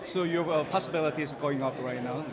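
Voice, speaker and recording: Fast-talking Korean-accented interviewer outdoors. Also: medium pitch. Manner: polite, brief questions with steady backchannel murmurs. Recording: busy crowd behind. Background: crowd.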